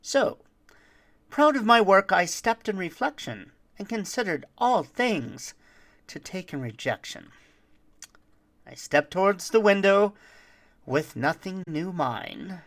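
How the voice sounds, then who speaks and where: Someone playing a cocky thief telling a rhyming yuletide story, super dramatic n expressive, takes it slow. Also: mid-range voice, exaggerated voice. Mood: proud, wistful. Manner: dramatic, deliberate delivery. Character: roguish boastful thief telling a rhyming holiday tale. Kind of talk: theatrical rhymed storytelling.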